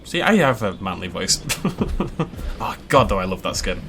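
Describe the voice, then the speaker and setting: A man's voice: low-pitched, big pitch swings, normal speed, creaky, fairly bright tone, faint music behind. Chatty man playing a park game. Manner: chatty, laughs often, playful asides. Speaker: game channel host. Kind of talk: casual gameplay commentary.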